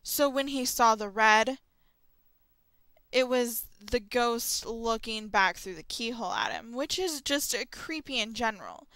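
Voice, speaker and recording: Bright, high-voiced young woman chatting casually. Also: very animated, measured pace, dry recording, poor audio. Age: young adult. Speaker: vlogger and host of an audio log. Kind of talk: casual rambling solo chat about horror stories.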